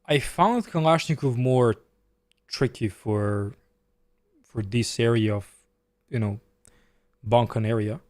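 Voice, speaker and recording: Chess streamer, man, Serbian accent, mid pitch, dry close mic, chatty live commentary. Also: takes it slowly, a little mellow, dry recording. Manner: chatty, reads chat aloud and answers while playing.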